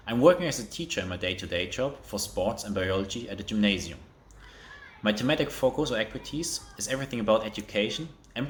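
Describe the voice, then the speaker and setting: A man, giving a friendly, straightforward self-introduction as a teacher. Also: lowish voice. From Cologne, Germany. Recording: echoey room.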